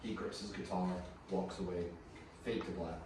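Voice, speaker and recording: A man's voice: deep voice, steady tone, moderate pace. A man narrating scripted stage directions at a screenplay table read. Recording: distant, echoey room with clear noise.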